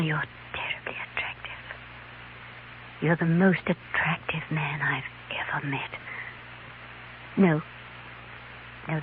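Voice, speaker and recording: A woman playing an anxious, tipsy stage actress who feigns English gentility, with an affected, theatrical manner, in a 1950s radio western. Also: on the low side. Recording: noisy, narrow-band 1950s radio recording.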